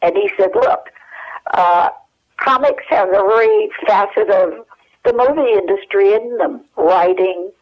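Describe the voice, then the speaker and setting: Older woman, phone-in guest, halting and chatty; muffled telephone sound. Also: mid-range voice. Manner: rambling, halting with many fillers. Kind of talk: telephone interview.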